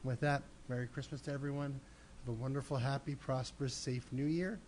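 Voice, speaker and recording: Middle aged commissioner, plain steady talk, faint hum behind. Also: male voice, medium pitch, a little fast, poor audio. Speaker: city commissioner. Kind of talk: brief council remarks. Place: council chamber, seated at dais. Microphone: desk microphone.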